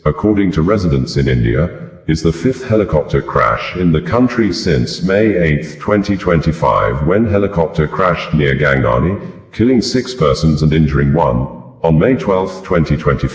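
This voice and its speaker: A man's voice: a bass voice, a little mellow. A man reading scripted news narration as a news reader. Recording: echoey, reverberant room.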